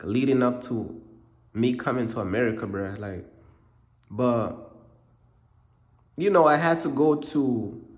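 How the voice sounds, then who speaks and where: A young man from Uganda telling his life story in a casual, rambling way, calling the listener "bro" over and over. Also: mid-range voice. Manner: laid-back, rambling, says "bro" constantly. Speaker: faith vlogger. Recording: echoey room.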